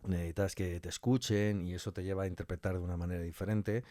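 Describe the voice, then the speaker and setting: Man, low voice, emphatic conversational guest, very dry recording. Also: fairly even intonation, fast talker, dark tone, no echo. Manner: colloquial and emphatic, repeating key phrases and voicing hypothetical quotes. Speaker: interview guest, audio fiction director. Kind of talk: conversational interview answers.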